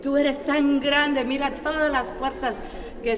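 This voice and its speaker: A woman praying aloud, slow and pleading. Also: high-pitched, fairly even intonation, big-room sound, over music. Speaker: person praying. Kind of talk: spoken prayer.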